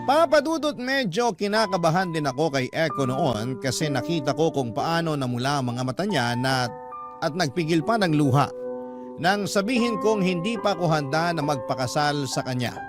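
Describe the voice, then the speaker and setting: A man's voice: fairly high pitch, lively intonation, moderate pace. A man reading a first-person love letter as a dramatic radio storyteller. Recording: prominent background music under narration. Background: loud instrumental music bed.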